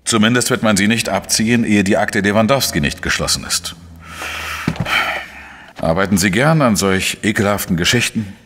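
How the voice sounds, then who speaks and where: An actor playing a dry, probing investigator who narrates a crime radio drama in a measured way. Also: male voice, on the low side, a bit bright. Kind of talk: scripted first-person crime narration and dialogue.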